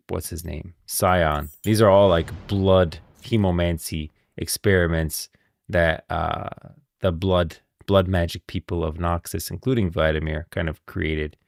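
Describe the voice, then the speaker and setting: Male streamer, low voice, dry sound, casual live commentary, rambling, repetitive, thinking out loud. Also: gravelly, no echo. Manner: rambling, thinks aloud, repeats himself. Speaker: streamer commenting on game lore.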